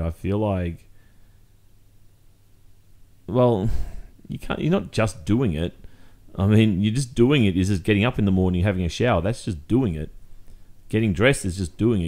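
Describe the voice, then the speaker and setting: A man acts out a jealous Australian boyfriend with a low-pitched voice. He confronts his partner in an intimate roleplay monologue, exasperated and accusatory. His phrasing is conversational and halting, yet insistent. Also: a little mellow, close to an everyday voice. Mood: suspicious, hurt.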